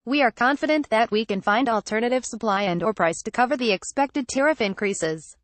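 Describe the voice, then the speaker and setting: A woman narrating a scripted financial news article in a measured, formal read-aloud delivery. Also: fairly high pitch, no room sound.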